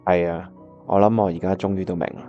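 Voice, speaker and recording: A man's voice: low voice, fairly even intonation, quick pace, warm tone, dull audio, soft background music, natural voice. A man with a Hong Kong Cantonese accent acting an earnest, lovelorn young pianist who narrates his own story in a radio drama. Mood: friendly. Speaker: voice actor, lead and narrator.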